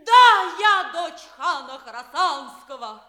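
An actress playing a passionate, scheming captive princess in a theatrical, declamatory radio drama style. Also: female voice, very high voice, big pitch swings, slow, bright, forceful tone, full character voice. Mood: proud, agitated. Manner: declamatory, breathy stage whispers swelling to outcries. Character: enslaved khan's daughter, passionate, scheming, lovelorn princess.

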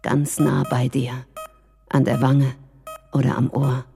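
A woman's voice: rumbling low, level tone, slow pace, gravelly. A woman speaking in a spoken-word sound collage about everyday work. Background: loud music.

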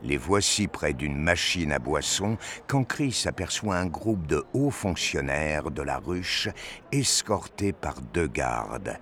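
A man's voice: very deep voice, expressive, slow, fairly bright tone, slight background noise. A man narrating a scripted science fiction audio drama in the manner of a storyteller.

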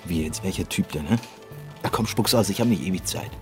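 An actor playing a gruff, guarded, troubled police detective in a radio drama, brusque and sardonic. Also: male voice, sits low, fairly quick, over music, close to an everyday voice. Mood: pressuring, sardonic. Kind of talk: naturalistic German radio drama acting.

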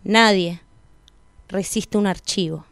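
A woman's voice: medium pitch, slow pace, a bit bright. A woman with an Argentine accent delivering a scripted spoken-word monologue as a radio presenter. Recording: music bed under the voice. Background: music.

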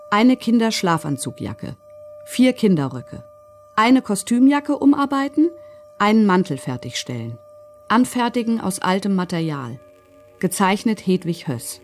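A woman playing a commandant's vain, untroubled wife, reading out her letters and requests in a documentary radio drama. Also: mid-range voice. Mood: brisk, official.

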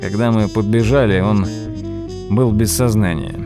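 A man's voice: on the low side, steady tone, unhurried, music in the background. An actor playing a nervous nephew who narrates the story of his uncle in an audio drama. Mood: measured, storytelling.

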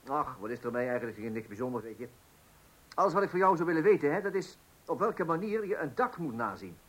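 A man's voice: fairly high pitch, big pitch swings, quick pace, a bit bright, breathy edge, full character voice, poor audio. An actor playing a hesitant, gullible suburban husband and father who stammers and repeats himself in a radio comedy play. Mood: chatty, hesitant.

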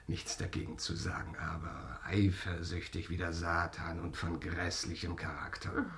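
Vain young low-voiced stage actor. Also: male voice, flat delivery, moderate pace, fairly bright tone. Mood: boastful. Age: young adult. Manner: boastful, theatrical. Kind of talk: German radio play acting.

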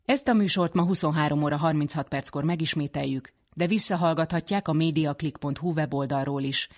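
A radio presenter reading scripted programme narration. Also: mid-range voice.

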